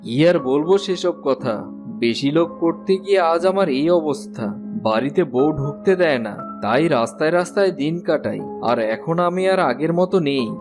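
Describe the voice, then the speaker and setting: A man's voice: a little high, animated, normal speed, over music, natural voice. A man voicing a once-greedy neighbor, now humbled and pleading for work, in a rueful tone. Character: humbled male former rich neighbor begging for work.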